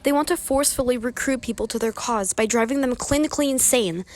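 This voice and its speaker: Grim, blunt prisoner, young female amateur actor, fairly high voice, radio drama. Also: fast talker, slight background noise, close to an everyday voice. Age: young adult. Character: blunt fellow prisoner, grimly informed.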